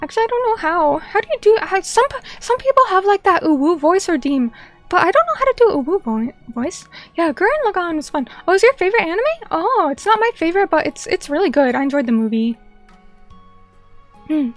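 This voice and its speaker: A woman's voice: extremely high pitch, big pitch swings, brisk, faint music behind. A young woman streamer chatting casually and reading viewer comments, bubbly and chatty, greeting people by name.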